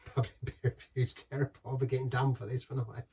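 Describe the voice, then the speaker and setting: A man's voice: mid-range voice, flat delivery, fast, a little mellow, slightly rough. A middle-aged man chatting casually through a beer review, rambling and restarting as he talks. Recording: voice from some distance from the mic.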